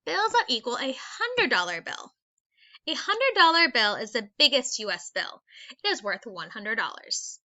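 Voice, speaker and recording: A woman teacher with a fairly high, very animated voice reads aloud for young children. She is instructional and takes things step by step. The recording is a slightly dull screencast voice-over. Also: moderate pace. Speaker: elementary school teacher.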